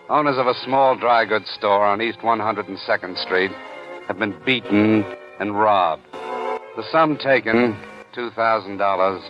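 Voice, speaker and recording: An actor as a terse, sardonic, hard-boiled narrator of a 1940s radio drama, speaking dramatically. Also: male voice, lowish voice, lightly acted. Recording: dull 1940s radio broadcast over music.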